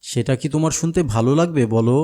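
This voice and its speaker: A man's voice: mid-range voice, fast, warm tone, close to an everyday voice. A man voicing a friendly, patient older companion to a child in a cartoon, with a Bangladeshi accent. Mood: teasing. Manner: patient, coaxing, explanatory. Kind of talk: cartoon dialogue.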